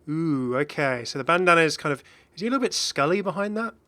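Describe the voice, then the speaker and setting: Bright-voiced man doing casual gaming commentary. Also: on the high side, expressive, fast, no room sound. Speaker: video host, game content creator.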